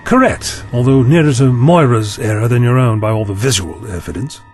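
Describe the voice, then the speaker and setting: A man's voice: mid-range voice, brisk, darkish tone, soft background music, natural voice. A man playing a courteous, wry time-travelling eccentric with lost memory, speaking formally in an audio drama. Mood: patient, explanatory. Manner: formal, wordy, inquisitive.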